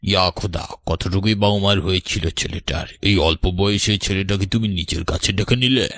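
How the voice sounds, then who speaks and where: A man's voice: deep voice, normal speed, fairly bright tone, dry recording, studio quality. A man voicing a weary, reproachful middle-aged husband in a cartoon drama. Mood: sorrowful. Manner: scolding, weary, moralising. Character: reproachful older husband, grieving father-in-law. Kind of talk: animated horror story drama dialogue.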